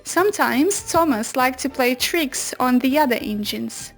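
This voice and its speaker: A woman reads a children's storybook aloud. Her voice is high and she takes her time over the scripted narration, with light music underneath. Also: lively intonation, a little slow. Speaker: children's book reader.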